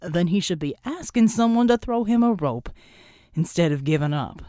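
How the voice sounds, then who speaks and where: A woman's voice: on the low side, animated, normal speed, warm tone, no room sound, clean studio sound, lightly acted. A woman voicing a stern, by-the-book male superhero officer with a formal, firm and reproachful manner in an audiobook. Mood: indignant, frustrated.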